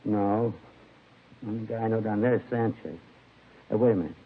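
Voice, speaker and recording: An actor playing a hungover American laborer in a 1950s radio crime drama, slangy, aggrieved and rambling. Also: male voice, lowish voice, normal speed, narrow, tinny audio, natural voice. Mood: groggy, recollecting. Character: grumbling, hungover male laborer in jail. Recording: thin, faintly noisy vintage radio recording.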